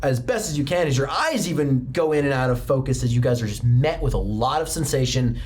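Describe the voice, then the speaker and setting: Steady male game master narrating. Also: mid-range voice, fairly quick. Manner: descriptive, steady, with occasional fillers. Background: music.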